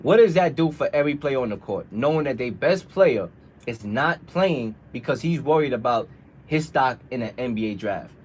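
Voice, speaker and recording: A man's voice: mid-range voice, animated, moderate pace, darkish tone, slight background noise. A man hosting a casual sports debate, making his points emphatically with repeated rhetorical questions. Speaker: radio show host.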